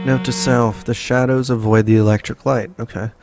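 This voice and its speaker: A man hosting a let's play, reading in-game notes slowly and evenly between short casual asides. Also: medium pitch, flat delivery, darkish tone, faint music behind. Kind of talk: casual gameplay commentary and reading notes aloud.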